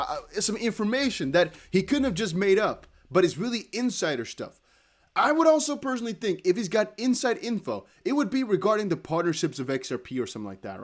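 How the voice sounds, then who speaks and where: A young man with a Dutch accent, hosting a crypto livestream in casual chat, reading viewer comments aloud. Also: high-pitched. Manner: chatty, animated, reads viewer comments aloud with quick laughs.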